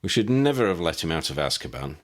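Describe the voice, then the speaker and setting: A man's voice: low voice, sing-song, fast talker. Man as serious, cautious young wizard.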